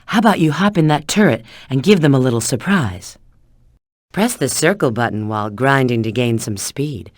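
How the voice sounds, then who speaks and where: A self-assured female field agent from a video game. Her pitch moves around in a lively way as she briefs an ally with clipped, instructive tips. The recording is dry, with no room sound. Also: moderate pace, no echo. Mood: instructive, confident. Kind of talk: video game tutorial and mission dialogue.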